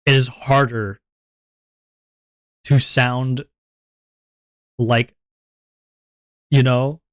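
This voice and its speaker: Deep-voiced man rambling to his stream. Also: little pitch movement, very slow, clean tone, no room sound. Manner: rambling, self-reflective, repeats words. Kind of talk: casual chat to stream viewers.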